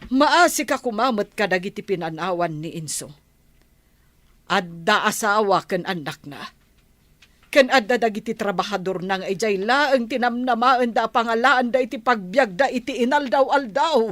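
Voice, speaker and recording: A woman's voice: a little high, lively intonation, normal speed, a bit bright, dry recording. A woman playing a reproachful, pleading village woman with a conscience in an Ilocano radio drama.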